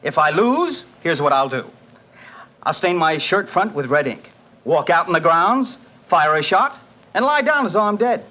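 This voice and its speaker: A cocky, cheerful young American husband with a scheme. His voice is fairly high and punchy, with eager energy. The old radio drama recording is dull. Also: big pitch swings, normal speed, sharp tone. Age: young adult. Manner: breezy, eager. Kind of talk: 1940s radio drama acting. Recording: muffled 1940s radio recording.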